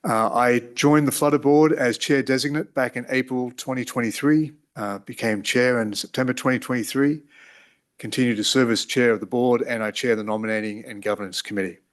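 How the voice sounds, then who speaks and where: A man's voice: medium pitch, steady tone, fairly quick. Middle-aged Australian man, formal and orderly. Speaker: applicant, company board chair. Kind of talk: formal self-introduction at a hearing.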